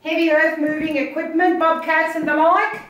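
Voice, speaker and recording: High-voiced Australian woman in echoey rooms. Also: level tone, normal speed, fairly bright tone. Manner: chatty, pointing things out, laughs easily. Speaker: auctioneer. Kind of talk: casual walkthrough chat. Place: large house, indoors. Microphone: handheld phone camera.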